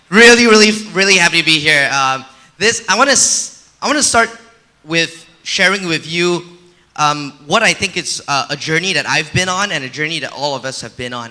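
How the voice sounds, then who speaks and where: A man from Malaysia giving a conference talk on stage in a relaxed, personal way with frequent fillers. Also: fairly high pitch, moderate pace. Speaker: conference speaker. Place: conference stage before an audience.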